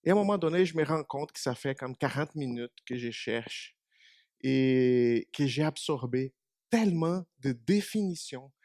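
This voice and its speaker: A man's voice: medium pitch, lively intonation, unhurried, darkish tone, no room sound, studio quality. A man whose first language is Portuguese, giving a short church meditation in a small congregation and asking them questions. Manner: conversational, asks the congregation questions. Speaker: preacher.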